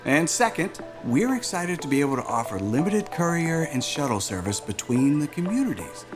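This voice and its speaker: A man playing a self-assured, smooth-talking aerospace executive in a naturalistic audio drama, with a polished, salesman-like manner. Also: a little high, sing-song, moderate pace, over music. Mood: proud pitching.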